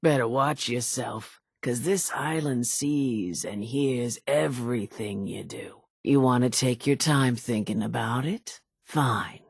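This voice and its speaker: An actress playing a vengeful old island widow in a video game, telling grim tales in a raspy, folksy, theatrical way. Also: female voice, extremely low pitch, takes it slowly, hardly any pauses, a little mellow, no room sound, studio quality, exaggerated voice. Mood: wary, theatrical. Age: older. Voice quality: raspy, gravelly. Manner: folksy, dramatic storytelling drawl. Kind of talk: video game character dialogue.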